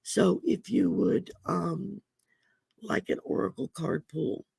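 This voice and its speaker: A woman with a gravelly voice from damaged vocal cords. She hosts a casual livestream, chatting and reading oracle cards in a folksy way with many ums. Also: lowish voice, takes it slowly, a little mellow, no room sound. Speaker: host and oracle card reader. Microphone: computer mic on a livestream.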